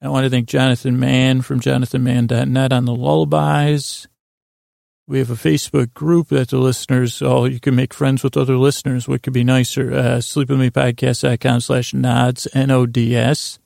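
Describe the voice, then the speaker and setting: Very gravelly, monotone man rambling softly along. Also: medium pitch, no echo, studio quality. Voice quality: gravelly, creaky. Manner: meandering, halting, trailing off mid-thought. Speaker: podcast host. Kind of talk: rambling bedtime podcast monologue.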